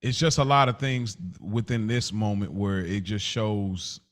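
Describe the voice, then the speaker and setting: Male co-host, slightly low voice, conversational debater who feels his way through each point haltingly. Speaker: podcast co-host.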